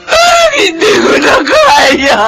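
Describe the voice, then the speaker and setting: A woman's voice: extremely high pitch, huge pitch swings, slow pace, raised voice, loud music behind the voice, heavily acted. An actress playing a distraught Filipino mother in a radio drama, wailing and pleading over her troubled children. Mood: anguished, sobbing.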